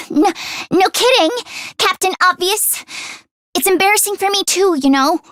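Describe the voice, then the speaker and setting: A woman voicing an earnest, anxious teenage girl mechanic in an anime-style video game, expressive and shifting between timid and sassy. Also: very high voice, a little slow, dry recording. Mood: exasperated. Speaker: voice actress.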